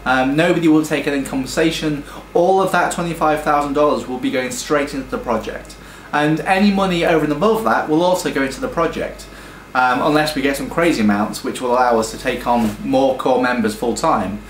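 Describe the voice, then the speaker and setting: A British man pitching his game studio's project, talking enthusiastically with frequent ums and restarts. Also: a little fast, a little hiss or hum. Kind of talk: earnest crowdfunding pitch. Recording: echoey room with faint music.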